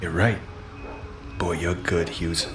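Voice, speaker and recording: An actor playing a flustered, bumbling detective sidekick in a noir radio play, rambling and easily startled. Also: male voice, low voice, little pitch movement, takes it slowly, over music. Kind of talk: 1940s-style radio play acting with inner monologue.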